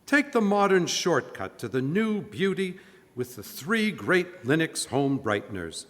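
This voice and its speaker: A man's voice: on the high side, very animated, a little slow. A man playing an old-time radio announcer, delivering scripted sponsor copy and dramatic narration in 1940s style. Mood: salesmanlike. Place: church, live stage performance. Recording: live in echoey hall.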